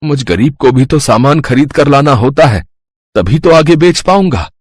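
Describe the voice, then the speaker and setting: Man putting on a husky, humble old village butcher. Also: medium pitch, animated, moderate pace, no room sound, pitched below the performer's own voice, lightly acted. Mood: pleading. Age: older. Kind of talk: Hindi cartoon story dialogue.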